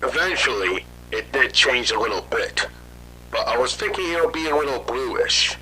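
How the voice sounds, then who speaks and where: A man playing a guilt-ridden scientist in hiding, telling his story haltingly in an amateur horror audio drama. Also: medium pitch, animated, sharp tone, slight background noise, rough recording. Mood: dismayed, grave. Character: guilt-ridden fugitive scientist father recounting his failed experiment.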